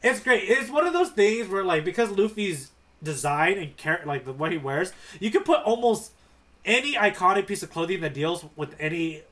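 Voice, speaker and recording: A man with a somewhat high voice speaks as a podcast co-host. His delivery is casual and conversational, full of enthusiasm for the comic he is discussing. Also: expressive, normal speed, fairly bright tone. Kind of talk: casual manga discussion chat.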